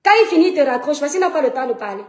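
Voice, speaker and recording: A woman's voice: high-pitched, lively intonation, rapid-fire, reverberant. A woman from Cameroon with a Cameroonian French accent, mocking and confronting her critics in a heated rant to her followers. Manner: mocking, confrontational, rhetorical questions. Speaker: social media personality. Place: France.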